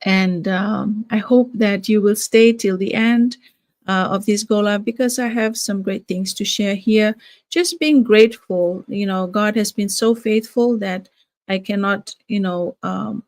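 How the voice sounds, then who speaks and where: A woman's voice: medium pitch, a little mellow, dry recording. An older woman from Fiji, a grandmother, hosting a casual live stream with many fillers and restarts. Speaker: live-stream host, nurse and business owner.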